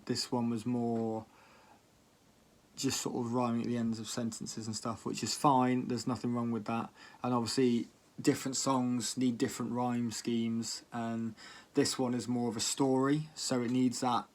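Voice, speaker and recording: A man's voice: mid-range voice, little pitch movement, normal speed. A man giving casual spoken commentary as a music reaction vlogger, with a hesitant, rambling delivery.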